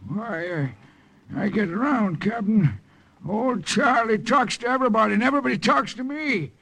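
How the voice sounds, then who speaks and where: A half-cracked old dockside drunk and informer in a vintage radio play. His voice is high, creaky and piercingly bright. He wheedles and rambles in a folksy, ingratiating way. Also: normal speed, full character voice. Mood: sly, ingratiating. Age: older. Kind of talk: old-time radio drama acting. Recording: vintage radio drama recording.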